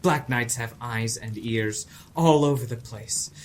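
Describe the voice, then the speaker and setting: A man's voice: mid-range voice, a little slow, close to an everyday voice. A man acting a troubled, intense young student cultist in an audio drama, curt and strained. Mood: accusing. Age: young adult.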